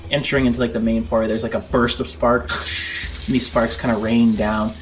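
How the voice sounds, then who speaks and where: A man narrating a tabletop space game as game master, improvising scene descriptions in halting, informal phrases. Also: medium pitch, little pitch movement, slight background noise, low-quality recording. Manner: halting descriptions padded with "like" and "kind of".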